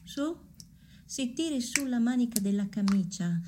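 An actress playing a prim, haughty young nurse, snobbish and defiant, in an Italian radio drama. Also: female voice, fairly high pitch, animated, a little slow, noisy background. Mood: scornful, brisk.

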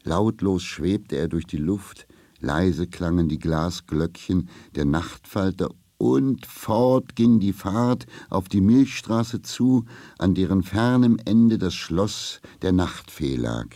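A man's voice: deep voice, expressive, takes it slowly, dark tone, no echo, studio quality. A man narrating a scripted fairy tale for children.

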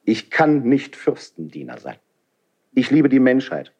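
An actor playing a proud, fervent, idealistic nobleman in a classical verse radio drama, with deliberate declamatory phrasing. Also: medium pitch, sing-song, a little mellow, slightly rough, muffled recording. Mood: fervent, defiant.

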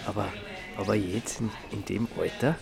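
An actor playing a sheepish, awkward man with an Austrian accent in a radio play, speaking haltingly and colloquially. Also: lowish voice, steady tone, vocal fry, music in the background. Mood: hesitant, curious. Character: sheepish, awkward, hesitant male newcomer. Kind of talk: German radio play, casual dialogue.

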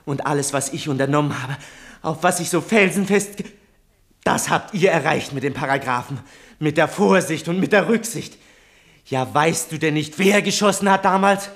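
Hohe, aufgewühlte Jungenstimme, voller Trotz. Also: male voice, fairly high pitch, vocal fry, fairly bright tone. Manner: accusing, intense, breaking into whispers. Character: Etzel, defiant teenage boy outraged by injustice. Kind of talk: 1960s German radio drama acting.

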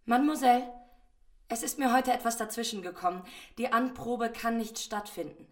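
A woman's voice: a little high, normal speed, close to an everyday voice. An actress as a proud, formal young lady. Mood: flustered, composed. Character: proud, loyal young Parisian lady, lover of a gentleman thief. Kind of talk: radio drama acting.

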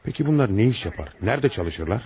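An actor playing a stern, commanding police commissioner in a radio play, questioning suspects brusquely and with authority. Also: male voice, on the low side, lively intonation, quick pace, darkish tone. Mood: commanding, brisk. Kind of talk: radio theatre acting.

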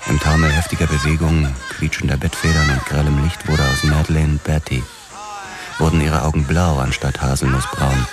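An actor playing a brooding, obsessed police detective, narrating his own noir story in a measured, sombre manner. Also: rumbling low, flat delivery, over loud music. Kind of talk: scripted noir first-person narration.

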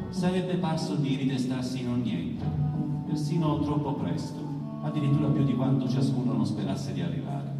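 A man giving a theatrical literary recitation of a first-person narrative, with deliberate phrasing. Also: medium pitch. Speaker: author reading his own text at a poetry event. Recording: very echoey hall, distant, music underneath. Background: music.

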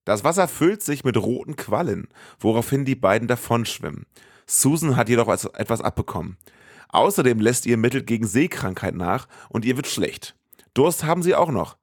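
A man's voice: mid-range voice, expressive, moderate pace, husky, no echo, studio quality. A man, a podcast host narrating a scripted, colloquial recap of a film.

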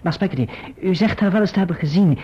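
An actor playing an earnest, courteous young gentleman who also narrates his own story in a Dutch radio play. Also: sits high, quick pace, breathy edge. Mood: earnest, urgent. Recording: muffled old radio recording.

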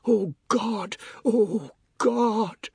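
Man acting a high, outraged Caribbean tattletale. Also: extremely slow, gravelly, breathy edge, no echo, full character voice. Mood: wailing complaint. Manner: shouting, complaining. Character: whining, outraged young tattletale relative.

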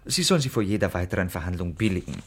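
An actor playing a wry, cheeky valet in a classic German radio comedy, with a theatrical, mock-formal delivery. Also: male voice, deep voice, very animated, racing, breathy edge, full character voice.

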